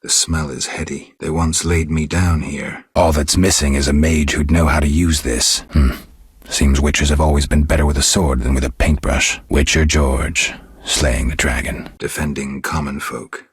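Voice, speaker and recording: An actor playing a stoic, world-weary monster hunter in a video game, gravelly and terse with a deadpan restraint. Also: male voice, extremely low pitch, dry recording, clean studio sound, exaggerated voice. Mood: hushed, musing. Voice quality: gravelly, husky. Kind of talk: video game character dialogue.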